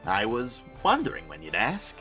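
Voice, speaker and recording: An actor playing an excitable, fatherly inventor in an audio drama, chatty and exclamatory, swinging between excitement and tenderness. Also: mid-range voice, takes it slowly, soft background music, exaggerated voice. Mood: fond, wistful. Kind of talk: cinematic audio drama acting.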